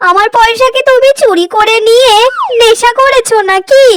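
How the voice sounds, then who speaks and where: A woman's voice: extremely high pitch, normal speed, noisy background. A woman voicing a frantic, greedy mother crow in a cartoon, wailing and accusing everyone around her. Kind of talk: cartoon voice acting.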